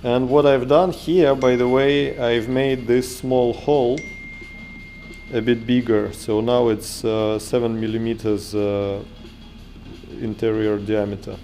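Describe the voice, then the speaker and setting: A man in his thirties with a Russian accent, talking through his workshop in halting English full of fillers and restarts, recorded on an action camera indoors. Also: mid-range voice, little pitch movement, warm tone, faint music behind. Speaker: maker vlogger. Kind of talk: casual workshop vlog. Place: home workshop room, indoors. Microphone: action camera held or mounted close.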